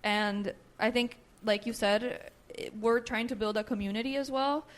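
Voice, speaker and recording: A woman's voice: a little high, steady tone, moderate pace. Young woman from nicaragua, spanish first language, therapist co-host chatting easy, lots of "you know". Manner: conversational, fills with "you know" and "right". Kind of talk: casual chat.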